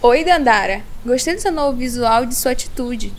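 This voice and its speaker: A woman's voice: fairly high pitch, lively intonation, brisk, soft background music, lightly acted. A woman playing a kind, self-assured young university student in an amateur radio drama. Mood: friendly. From Brazil.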